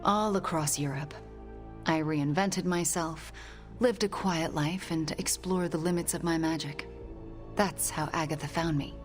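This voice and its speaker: A woman playing a guilt-ridden, determined young sorceress in a video game cutscene, speaking softly and earnestly with breathy phrase endings. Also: deep voice, steady tone, unhurried, soft background music. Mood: reflective.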